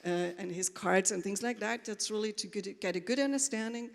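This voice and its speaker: A woman telling her story informally and a little haltingly as a panelist, with quoted asides. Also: medium pitch, creaky. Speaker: panelist, corporate trainer. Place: conference hall, before an audience. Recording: echoey hall with long reverb.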